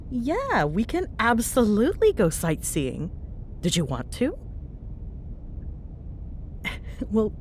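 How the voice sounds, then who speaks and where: Girl voicing a snarky young tsundere ghost hunter, lowish voice that bounces around a lot, roleplay banter, faint cabin rumble behind. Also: darkish tone. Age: young adult. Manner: sarcastic, teasing, foul-mouthed banter. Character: young tsundere ghost-hunting woman, teasing, bossy, secretly fond. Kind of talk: audio roleplay spoken to a listener.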